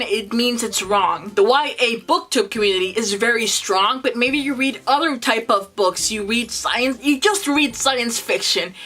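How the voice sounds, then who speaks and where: Bright-voiced woman with a Spanish accent. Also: on the high side. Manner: earnest, encouraging, emphatic. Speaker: booktuber. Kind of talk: advice vlog to camera.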